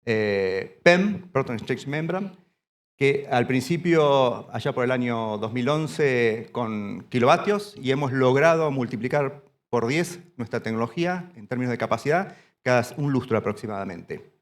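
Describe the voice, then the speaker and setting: A man from Argentina giving a standing conference presentation in a hall, halting and often restarting his phrases. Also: mid-range voice, lively intonation. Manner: speaks standing up. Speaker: panel speaker.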